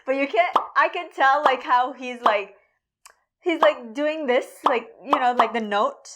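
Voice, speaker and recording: Soft-spoken woman with a Filipino accent. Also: high voice, unhurried, no room sound. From Philippines. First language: Filipino language. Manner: hesitant, searches for words, laughs easily. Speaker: podcast co-host. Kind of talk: casual conversational podcast.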